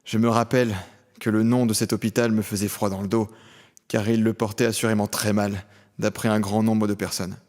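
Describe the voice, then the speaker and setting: A man's voice: fairly low pitch, flat delivery, brisk, a little mellow, close to an everyday voice. An actor playing a tormented criminal who tells his own story in a solemn, literary way in an audio drama. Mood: brooding. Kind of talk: scripted audio drama narration and dialogue.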